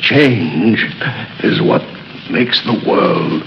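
A man's voice: low voice, lively intonation, extremely slow, gravelly, highs cut off, exaggerated voice. A desperate father. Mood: dying calm. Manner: shouting, urgent. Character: desperate brave father fighting a devil. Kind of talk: 1930s radio acting. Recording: noisy old 1930s radio recording.

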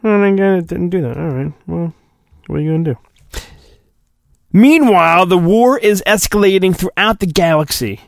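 A man's voice: high-pitched, lively intonation, moderate pace, gravelly, no room sound, clean studio sound. A male podcast host giving a mock-dramatic storybook reading, with hammy emphasis and rambling joking asides. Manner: hammy, exaggerated emphasis, digressive.

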